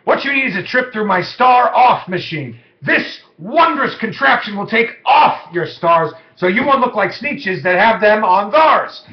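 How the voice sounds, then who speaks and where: A man voicing a slick, brash, persuasive salesman creature from a children's storybook, with a showy sales-pitch delivery. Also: expressive, a little slow, hardly any pauses. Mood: pushy selling. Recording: muffled lo-fi, echoey room.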